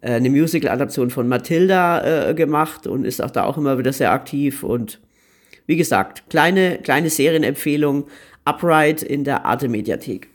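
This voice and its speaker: A man's voice: on the high side, normal speed. A man co-hosting a podcast, giving a casual review and often hesitating and repeating words as he searches for phrasing. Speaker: podcast co-host recommending series.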